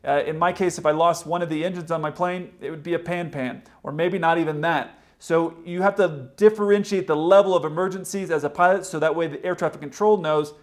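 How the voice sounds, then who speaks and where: Higher-pitched man explaining aviation. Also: brisk, hardly any pauses. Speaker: pilot and video host explaining aviation radio. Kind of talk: explanatory commentary to camera.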